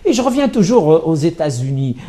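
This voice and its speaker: A man's voice: a little high, big pitch swings, a little fast, mellow. A male television host interviewing a writer, eagerly finishing his guest's sentences.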